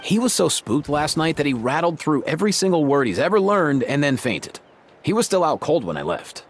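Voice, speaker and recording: A man's voice: a little high, sing-song, a little fast, slight background noise. A man voicing a laid-back, slangy young guy in a video game, breezy and casual. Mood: casual, puzzled. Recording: background music under the voice.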